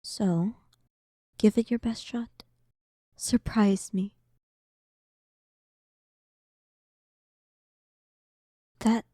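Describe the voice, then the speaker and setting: A woman plays a dominant demon queen in an intimate roleplay audio. Her voice is very dark and velvety, with a soft breathy edge, and she takes her time over every phrase. She sounds haughty and bored, teasing her listener with dry, condescending sarcasm, all in a close, dry recording. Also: medium pitch, no echo. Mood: amused, teasing. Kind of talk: scripted roleplay audio, intimate one-sided dialogue.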